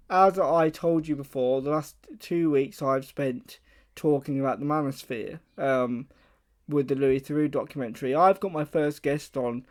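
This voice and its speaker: Chatty radio host guy, kinda high voice, upbeat intro. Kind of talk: presenter introducing a guest.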